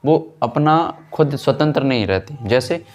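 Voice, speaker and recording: A male teacher explains a lesson as he writes. His voice sits at a middle pitch. He sets up questions, answers them himself and repeats key terms, often checking in after a point. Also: lively intonation, a little slow, darkish tone. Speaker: physics teacher. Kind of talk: classroom lesson, explaining while writing on a board.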